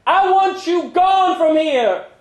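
A cool, firm woman bar owner from a short story, acted out by a male reader. The voice is pitched high and rings with the echo of a live room. She speaks like an estranged wife who stands her ground. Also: lively intonation, takes it slowly, exaggerated voice. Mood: furious. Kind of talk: dramatic short story reading. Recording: roomy live pub reading.

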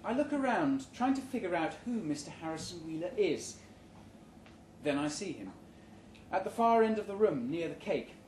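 Higher-voiced man reading a story live, roomy. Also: sing-song, moderate pace, a little mellow. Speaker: story reader. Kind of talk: live short-story reading, first-person narration. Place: indoor live reading event before an audience. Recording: roomy live venue, background noise.

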